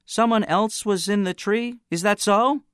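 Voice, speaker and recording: A man voicing a candid, excitable teenage schoolboy in an audiobook, earnest and a little flustered as he remembers. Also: sits high, clear, resonant tone, dry recording, studio quality, pitched above the performer's own voice. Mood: uncertain. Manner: spontaneous, earnest, halting as he remembers.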